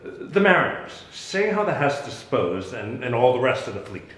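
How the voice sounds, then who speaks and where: A man playing a grave, commanding exiled duke and sorcerer in a deliberate, declamatory Shakespearean stage reading. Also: medium pitch, sing-song, fairly bright tone. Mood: commanding, questioning. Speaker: actor in dramatic reading. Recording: echoey room.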